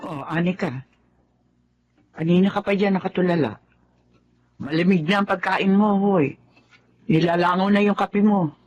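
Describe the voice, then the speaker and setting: A woman's voice: lowish voice, measured pace, pauses often, darkish tone, dull audio, slight background noise, lightly acted. An actress playing a loving middle-aged mother who speaks tenderly and reassuringly. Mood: teasing. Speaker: mother in a radio drama. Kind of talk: radio drama acting.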